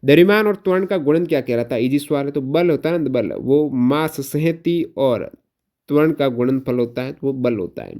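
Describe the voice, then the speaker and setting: A man with a soft, mellow voice at a medium pitch teaches an exam review lesson. He poses questions and then repeats the answers for emphasis, dropping English technical terms into his explanations. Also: animated, moderate pace.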